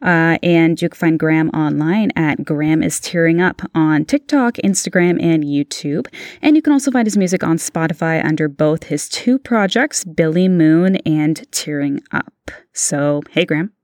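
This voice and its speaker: A woman's voice: deep voice, normal speed, a little mellow, dry recording, studio quality. A young woman from Ontario hosting a casual podcast interview, friendly and chatty with lots of fillers. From Ontario, Canada. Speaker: podcast host.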